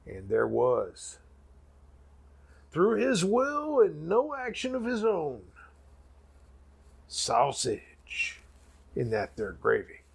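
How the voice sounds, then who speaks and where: A folksy backwoods preacher spins a mock sermon with grand solemnity. The voice is raspy and husky, fairly high for a man, and swings widely in pitch between dramatic pauses. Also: wildly swooping pitch, full character voice. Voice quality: gravelly, raspy. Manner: drawn-out, folksy, dramatic pauses and sudden swells.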